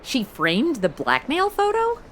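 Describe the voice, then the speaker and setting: Sassy modern gal sidekick played by a woman: slangy, sarcastic, higher-pitched and lively, comedy audio drama, faint noise behind. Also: a little slow, heavily acted. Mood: wry, questioning.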